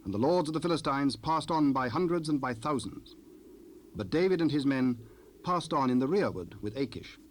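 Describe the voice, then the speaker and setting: A man narrating scripture from a script in a measured, solemn manner. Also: mid-range voice, animated. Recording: faintly noisy 1970s cassette rip.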